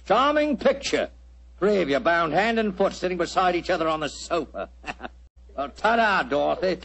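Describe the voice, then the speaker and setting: Sneering radio villain, husky voice. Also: male voice, high voice, big pitch swings, moderate pace, fairly bright tone, heavily acted. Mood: menacing, gloating. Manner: sneering, mock-polite, taunting. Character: gloating, menacing male villain, ringleader of anarchist assassins. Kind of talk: 1940s radio drama acting. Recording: old-time radio broadcast recording.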